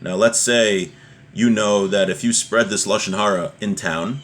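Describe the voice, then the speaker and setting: A man with a fairly low voice teaches religion in an informal way. He reads and then explains point by point, often checking in with short rhetorical questions. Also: steady tone, a little slow, fairly bright tone.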